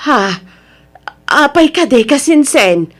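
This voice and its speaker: A woman's voice: high-pitched, very animated, takes it slowly, heavily acted. A woman playing a worried, scolding middle-aged mother in a radio drama, reproachful but caring. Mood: puzzled, worried. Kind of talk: radio drama acting in Ilocano.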